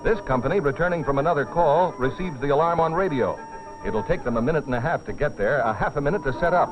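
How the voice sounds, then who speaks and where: A man narrating a dramatized film in a grave, deliberate scripted style with dramatic pauses. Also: on the high side. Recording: dull old film soundtrack, music behind. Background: dramatic music.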